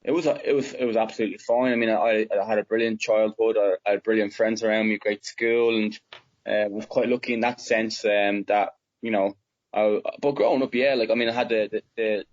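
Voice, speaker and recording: A man's voice: on the low side, fairly even intonation, brisk, highs cut off. A young man with a Dublin Irish accent, answering interview questions casually and hesitantly, with many fillers and restarts. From Ireland. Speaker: footballer guest.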